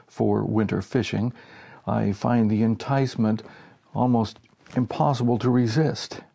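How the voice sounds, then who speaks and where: A man in his sixties giving an expressive reading of a humorous story. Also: medium pitch, creaky. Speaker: story reader. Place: small home office, indoors.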